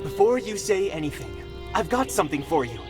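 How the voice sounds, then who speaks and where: A woman's voice: on the low side, sing-song, a little fast, music in the background. A woman playing an earnest, pleading lover in a dramatic fantasy game cutscene. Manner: pleading, emotional.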